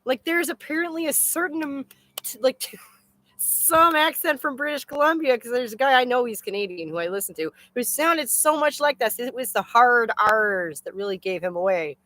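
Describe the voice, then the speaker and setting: Female streamer, higher-pitched, casual and exclamatory, swears freely, very dry room sound. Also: lively intonation, moderate pace, bright, forceful tone, no room sound. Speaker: host of a live chat stream. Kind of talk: casual rambling chat.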